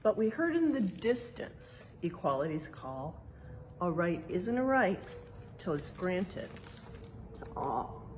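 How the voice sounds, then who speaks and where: A woman reading a picture book aloud in a museum exhibit like an educator, pausing for casual explanations and checking in with little tag questions. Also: lowish voice, big pitch swings, darkish tone, a little hiss or hum. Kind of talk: read-aloud storytime with casual explanatory asides.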